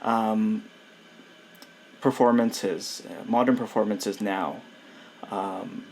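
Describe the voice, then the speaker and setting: A man hosting a casual podcast chat, introducing the topic hesitantly with many pauses and "um"s. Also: lowish voice, creaky, a little mellow, a little hiss or hum, rough recording. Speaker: podcast host.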